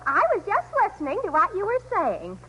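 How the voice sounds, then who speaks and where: A woman's voice: very high-pitched, wildly swooping pitch, a little fast, fairly bright tone, faint music behind, full character voice, low-quality recording. A woman playing a naive, chirpy, scatterbrained young comic foil in 1930s radio comedy, on an old recording. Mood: chirpy, innocent.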